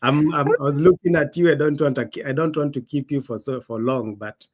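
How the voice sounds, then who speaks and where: A man's voice: on the high side, steady tone, a little fast. A man from Kenya with a Kenyan accent, hosting a podcast in a casual, emphatic way and acting out quoted dialogue. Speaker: podcast host. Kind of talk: casual conversational storytelling.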